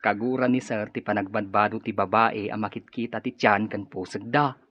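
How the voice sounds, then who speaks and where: Man acting a husky, gossipy office worker. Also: on the low side, steady tone, moderate pace, dry recording, natural voice. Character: gossipy, judgmental male office employee. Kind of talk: Ilocano radio drama acting. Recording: dull lo-fi audio, faint music.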